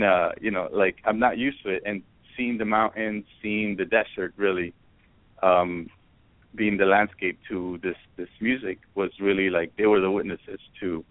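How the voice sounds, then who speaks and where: A man from Ecuador talks as a musician guest on a radio show. His voice is low and comes over a thin, phone-like line with a dry sound. He rambles and hesitates, restarting his sentences often. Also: no echo. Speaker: musician, band founder.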